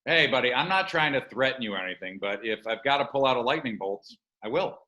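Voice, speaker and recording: Guy as easygoing boastful boss god in a comedy read, buddy-buddy, real animated bright voice. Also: mid-range voice, muffled recording. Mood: mildly threatening. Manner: casual, chummy, halting. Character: Zeus, genial male boss god, casually boastful. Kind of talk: comedy script table read.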